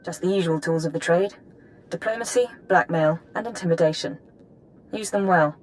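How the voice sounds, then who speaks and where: An actress playing a businesslike intelligence handler in a video game, formal, precise and composed. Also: female voice, lowish voice, moderate pace, lightly acted, low-quality recording. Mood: businesslike briefing. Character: crisp, businesslike female intelligence handler, coolly in control. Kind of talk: video game voice acting, mission briefing.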